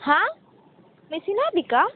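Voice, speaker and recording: A young Filipino café worker played by a woman speaks in a high, swooping voice, theatrical and exasperated as a teasing best friend. The radio drama recording is tinny and phone-like. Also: big pitch swings, unhurried, exaggerated voice. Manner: theatrical, sassy, exclamatory. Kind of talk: amateur romance radio drama acting. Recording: tinny phone-like band, faint background noise.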